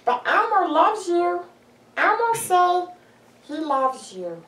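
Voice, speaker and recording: A woman's voice: very high voice, big pitch swings, slow, fairly bright tone, voice pushed up, exaggerated voice. A woman voicing a small, cheerful, childlike furry red monster who talks about himself in the third person. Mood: affectionate. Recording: roomy echo, mic at a distance.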